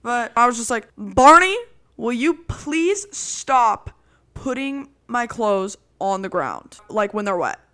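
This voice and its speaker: Loud, projecting young woman. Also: a little high, takes it slowly, clear, resonant tone, soft background music. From Italian roots. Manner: animated storytelling with frequent "like". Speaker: podcast co-host. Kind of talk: casual chat.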